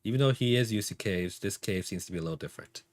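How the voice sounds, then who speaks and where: A man's voice: fairly low pitch, quick pace. A man acting as game master, narrating a tabletop role-playing game casually in informal, improvised phrasing.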